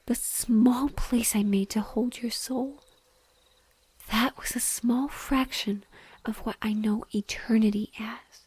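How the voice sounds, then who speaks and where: Whispery, slow, sorrowful banshee played by a woman. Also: on the high side, fairly even intonation, a little mellow, no echo, heavily acted. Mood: solemn. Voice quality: breathy, whispery. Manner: slow, tender, mournful. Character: sorrowful female banshee, gentle and lovingly devoted. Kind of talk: whispered intimate audio roleplay.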